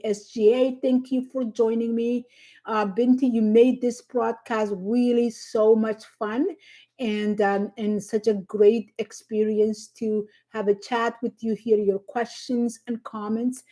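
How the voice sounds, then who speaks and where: A middle-aged woman from southern Somalia with a Somali accent, hosting a casual livestream, unhurried and halting with many fillers as she thanks viewers by name. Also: on the high side, a little mellow. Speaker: livestream host.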